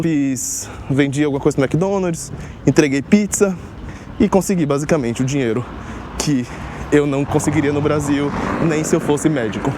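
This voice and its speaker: Dude vlogging outside, talks right at you, fairly high voice, loud street noise behind. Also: male voice. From Salvador, Northeast Brazil. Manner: conversational, direct address with rhetorical asides. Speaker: vlogger on life abroad. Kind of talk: casual explanatory vlog.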